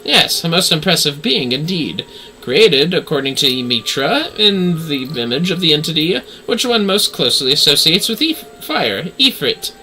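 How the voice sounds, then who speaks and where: An eager, courteous game scholar, voiced by a man, speaks in formal archaic phrases. The voice is raised and sharply bright, with animated swings of pitch over faint noise. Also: normal speed, full character voice, poor audio. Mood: fascinated.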